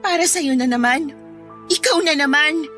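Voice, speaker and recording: A jealous, sullen teenage schoolgirl in a Filipino radio drama. Her voice is high, with a Filipino accent and a bitter, sarcastic, combative edge. Music runs underneath. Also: moderate pace, fairly bright tone. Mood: resentful.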